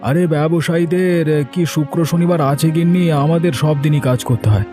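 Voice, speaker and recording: A man playing a work-driven, distrustful merchant husband in an animated story, with a brusque, emphatic manner. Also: on the high side, brisk, over music, lightly acted. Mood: grumbling. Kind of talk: animated moral-story voice acting.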